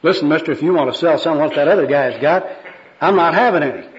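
A man's voice: a little high, fast, natural voice. A man reading a skit, voicing a wisecracking, skeptical down-and-out bum with slangy, sarcastic talk. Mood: wry, puzzled. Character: bleary, dejected skid-row bum, wary and wisecracking. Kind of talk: amateur skit read aloud. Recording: dull, lo-fi recording.

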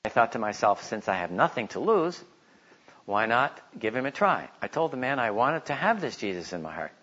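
A man's voice: mid-range voice, sing-song, fairly quick, a bit bright, breathy edge. Man teaching, slightly dull recording. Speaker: Bible teacher giving a talk. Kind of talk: informal religious teaching with stories and a read-aloud testimony.

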